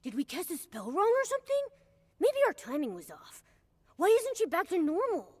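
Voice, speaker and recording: Very high, excitable little sidekick. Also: female voice, normal speed, full character voice. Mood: puzzled, anxious. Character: small, excitable, squeaky companion sidekick. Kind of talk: scripted video game character dialogue.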